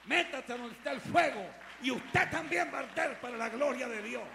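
A Salvadoran man preaching a fervent sermon as pastor, building to shouted repetitions, recorded live before a congregation. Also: very high voice, animated, normal speed, gravelly, crowd noise, noisy background. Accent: Salvadoran Spanish. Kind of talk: Pentecostal-style sermon.

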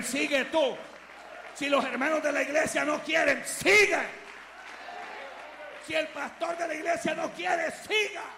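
A Salvadoran man preaching a fervent sermon as pastor, building to shouted repetitions, recorded live before a congregation. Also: extremely high pitch, creaky, a little hiss or hum. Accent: Salvadoran Spanish. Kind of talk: Pentecostal-style sermon.

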